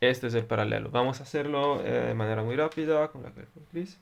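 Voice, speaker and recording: A man's voice: medium pitch, vocal fry. Italian man tutoring in careful Spanish. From Italy. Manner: deliberate, halting, many fillers. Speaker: electronics tutor. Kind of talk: explaining circuits at a whiteboard.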